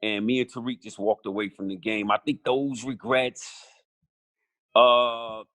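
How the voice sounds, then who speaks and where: A man's voice: mid-range voice, fairly even intonation, measured pace, no room sound, studio quality. A middle-aged man, a podcast guest talking bluntly and with profanity, restarting his sentences often. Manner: blunt, profane, repeats himself when starting a thought; quiet, reflective when talking about regrets. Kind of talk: casual conversation.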